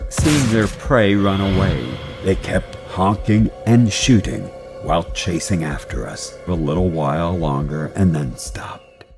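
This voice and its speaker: A man reading a scripted first-person scary story as its narrator. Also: sits low. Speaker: narrator of an animated horror story.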